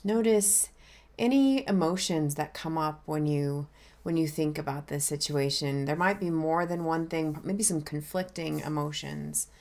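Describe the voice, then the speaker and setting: A woman minister leading a guided meditation in a gentle, unhurried, inviting way. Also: sits low, gravelly.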